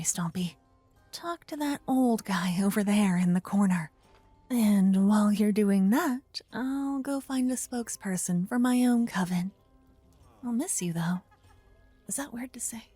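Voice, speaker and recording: A woman playing an adorable young aspiring paladin in an ASMR roleplay, caring and teasing, soft-spoken and easily flustered into stammers. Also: fairly high pitch, no room sound, exaggerated voice.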